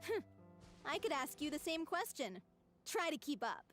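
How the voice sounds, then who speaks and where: A brisk, wry troupe member. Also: female voice, sits high, sing-song, a bit bright. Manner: brisk, wry, matter-of-fact. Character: brisk, practical woman of a theatre troupe. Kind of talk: video game dialogue. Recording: faint game music behind.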